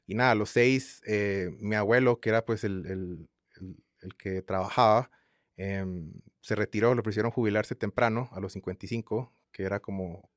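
A man in his thirties from Guatemala with a Guatemalan Spanish accent, chatting as an interview guest in a relaxed, rambling way full of fillers. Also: fairly low pitch, moderate pace, dry recording, clean studio sound. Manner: relaxed rambling with many fillers and repeated tags. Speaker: guest singer. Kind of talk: casual interview chat.

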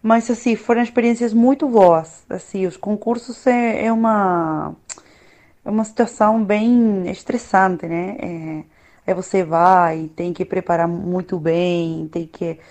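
A woman's voice: medium pitch, steady tone, a little mellow. Reflective woman, Spanish native speaker. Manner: reflective, unhurried, often pausing to find words. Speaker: opera singer, guest. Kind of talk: casual conversation.

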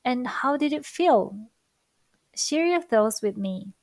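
Slow-spoken Japanese-accented woman. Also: sits high, expressive, no room sound. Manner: slow, careful, thoughtful delivery with soft fillers. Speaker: language-learning vlogger. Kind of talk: reflective monologue to viewers.

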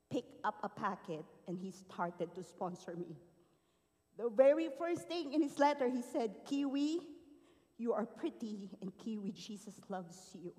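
A woman's voice: mid-range voice, darkish tone. A woman from the Philippines giving an earnest, emotional personal testimony to a church congregation. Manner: earnest, emotional storytelling with rising and falling emphasis. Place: large church auditorium. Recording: long hall reverb.

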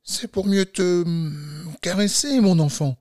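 A man voicing a storybook wolf who poses as a frail, tired old grandmother, in falsetto with feigned weary sweetness. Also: takes it slowly, a little mellow, no room sound, studio quality. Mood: sugary, sly. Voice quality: high falsetto.

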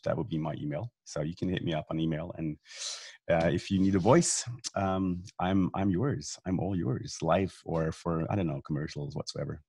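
Man, Swiss German accent, deep, dry, casual, halting. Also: breathy edge, no echo. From Switzerland. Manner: relaxed, many restarts and fillers. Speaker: guest, event announcer. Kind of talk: casual video call chat.

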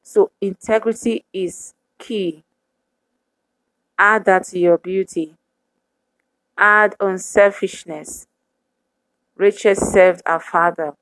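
A woman preaching a sermon to women, speaking in measured, emphatic repetitions. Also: mid-range voice, fairly even intonation, no echo, rough recording.

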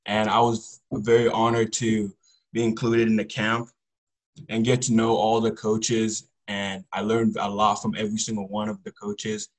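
Low, even-toned teenage guy being interviewed. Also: normal speed, poor audio. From Congo, later the US Pacific Northwest. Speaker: high school football player, podcast guest. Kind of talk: casual interview answers.

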